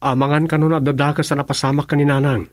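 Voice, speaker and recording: A man's voice: a little high, flat delivery, fast talker, dry recording, studio quality, close to an everyday voice. A man acting a worried young grandson in an Ilocano radio drama.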